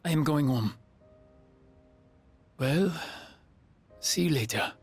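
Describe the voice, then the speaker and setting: Earnest exchange student, game acting, halting, emotional, gravelly edge, faint music. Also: male voice, a little high, expressive, heavily acted. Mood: sad, hesitant. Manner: hesitant, formal, emotional. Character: earnest, sensitive foreign exchange student boy.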